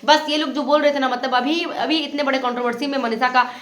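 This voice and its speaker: A woman's voice: high voice, steady tone, quick pace, fairly bright tone, reverberant. A woman giving opinionated reaction commentary as a channel host, reproachful and insistent, often repeating her points.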